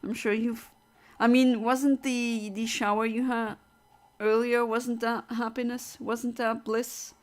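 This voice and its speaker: A woman's voice: fairly high pitch, little pitch movement, slow. A woman from Europe, a live streamer, chatting casually and answering her viewers' comments aloud while she plays.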